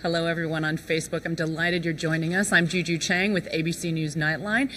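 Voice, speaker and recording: A woman TV news interviewer, from South Korea, hosting a live interview with a polished, crisp broadcaster delivery. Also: sits low, fairly even intonation, a little fast, fairly bright tone, a little hiss or hum.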